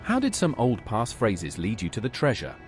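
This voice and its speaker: A man's voice: mid-range voice, wildly swooping pitch, normal speed. A man as an earnest adventurer with swooping intonation. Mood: earnest, polite. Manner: polite, questioning. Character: earnest, inquisitive male outsider adventurer. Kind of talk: AI-voiced fantasy game dialogue. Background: game music.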